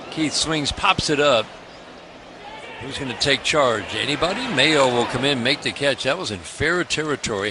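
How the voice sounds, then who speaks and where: American man calling baseball, ballpark noise behind. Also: mid-range voice. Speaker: radio play-by-play announcer. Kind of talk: live baseball commentary. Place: stadium broadcast booth. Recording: clear outdoor ballpark ambience noise.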